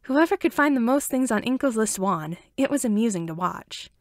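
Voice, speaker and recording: A woman's voice: a little high, lively intonation, normal speed, no echo, clean studio sound. A woman reading a story aloud as an amateur audiobook narrator, in scripted narration.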